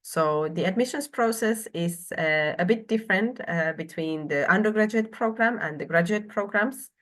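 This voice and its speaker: A woman's voice: mid-range voice, normal speed, fairly bright tone. A woman from Finland in her thirties presenting a university program online, with frequent hesitations and filler sounds.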